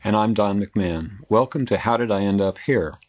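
Male radio co-presenter, lowish pitch, scripted documentary introduction. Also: normal speed, darkish tone. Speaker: documentary co-host.

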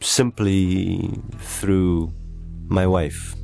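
Man, middle-aged, Arabic accent, deep pitch, earnest, pausing, reflective storytelling, faint music. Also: fairly even intonation, gravelly, darkish tone. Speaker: documentary interviewee.

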